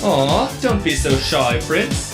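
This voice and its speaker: A man playing a flamboyant, gossipy robot TV host, theatrical and teasing, with loud music over the voice. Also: fairly high pitch, sing-song, slow pace. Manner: showy, teasing. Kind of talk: theatrical broadcast announcer, fan dub.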